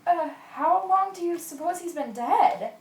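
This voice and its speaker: A woman's voice: very high-pitched, animated, measured pace, darkish tone, distant mic, heavily acted. A woman playing a fearful, pious and superstitious male ship's cook in an amateur radio play. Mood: nervous, reluctant. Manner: fearful, pleading. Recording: echoey room, off mic, faint noise.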